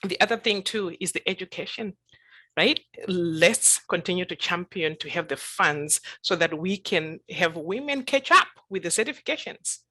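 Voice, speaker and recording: A woman's voice: fairly low pitch, lively intonation, normal speed, pushed and bright. A woman panelist speaking emphatically on a video call, repeating her key points for weight. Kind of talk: panel discussion remarks.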